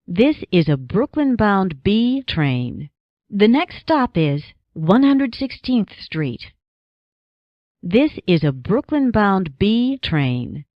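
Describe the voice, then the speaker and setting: An American woman reading scripted subway announcements as an automated announcer, in measured, segmented phrases. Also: medium pitch, big pitch swings, no echo, muffled recording.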